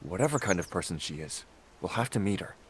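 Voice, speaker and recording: A man's voice: deep voice, lively intonation, fast, heavy background noise. A man playing a curious, understanding young adventurer in a video game, speaking in a reflective, inquisitive manner. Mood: resolved.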